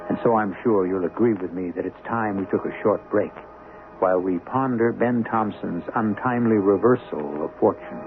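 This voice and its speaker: Gravelly, wry radio mystery host, man. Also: lowish voice. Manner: wry, theatrical, deliberate. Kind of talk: 1970s radio mystery narration, scripted. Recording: tinny vintage radio broadcast. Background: music.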